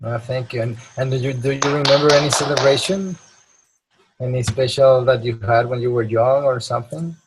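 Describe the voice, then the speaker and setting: A man moderating an informal online meeting, halting and often repeating his words. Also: medium pitch, steady tone. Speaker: meeting topic master, moderator. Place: online video call. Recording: noticeable background noise, clicks.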